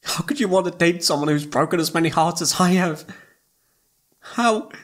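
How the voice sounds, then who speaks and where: A young man playing a lovesick, self-blaming best friend in a halting, emotional, intimate romantic audio roleplay, with a husky voice. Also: on the high side, close to an everyday voice. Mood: anguished.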